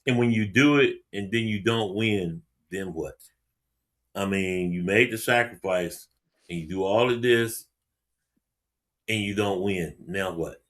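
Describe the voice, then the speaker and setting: A man's voice: low voice, unhurried, pauses often. A man hosting a podcast interview, encouraging his guest with frequent affirmations. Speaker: podcast host. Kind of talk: casual interview chat.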